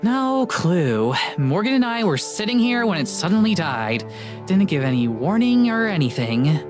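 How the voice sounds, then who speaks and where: A woman voicing an excitable, blunt young woman ghost hunter who gets exasperated and suspicious, in a visual novel. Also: on the low side, normal speed, over music. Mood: uneasy, deflated. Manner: exclamatory, blunt, casual.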